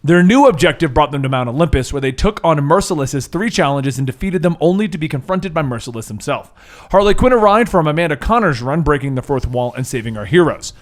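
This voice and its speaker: A man's voice: fairly high pitch, animated, brisk. A man acting as game master, narrating a scripted superhero campaign recap with casual banter.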